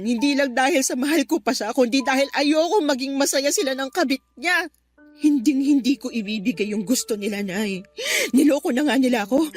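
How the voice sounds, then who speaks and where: A woman playing a distraught, stubborn betrayed wife, pleading and defiant with her mother in a radio drama. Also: high voice. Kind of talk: Tagalog radio drama acting.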